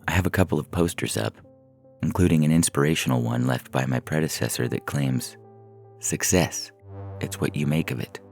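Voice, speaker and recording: A man reading a first-person short story as a narrator, in a measured, deliberate way, from a script. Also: extremely low pitch, darkish tone. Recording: music bed under the voice. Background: synthesizer music.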